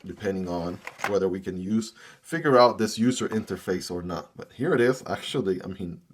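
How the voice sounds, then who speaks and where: A man's voice: low voice, a little hiss or hum. A man whose first language is Spanish, doing a casual, upbeat unboxing review and often tagging "right?" onto his sentences. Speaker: product reviewer.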